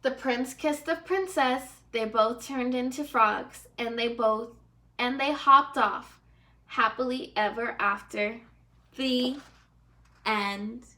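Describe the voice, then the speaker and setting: Woman, first grade teacher, expressive read-aloud, bright clear-cut tone, fairly high pitch. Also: slow. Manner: expressive, addresses pupils directly. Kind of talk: read-aloud lesson for young children.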